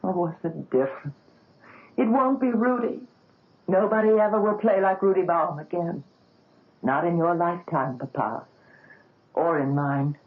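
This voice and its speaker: A woman's voice: lowish voice, a little slow, long pauses. A woman acting a doctor's grieving young daughter, earnest and emotional, in a 1970s radio drama. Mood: bitter grief. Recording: tinny vintage radio broadcast.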